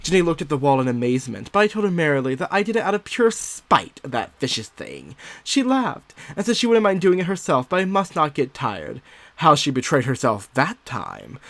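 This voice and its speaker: A man's voice: on the high side, a bit bright, no room sound. A man performing an unhinged, secretive married woman who is unravelling, in a theatrical, sly, gleeful gothic monologue. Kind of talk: dramatic first-person gothic story narration.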